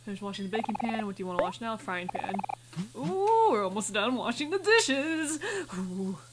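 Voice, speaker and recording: A woman's voice: on the high side, big pitch swings, normal speed, very noisy. A woman doing casual let's play commentary, chattily thinking aloud and reading game text out as she goes. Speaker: gaming video host.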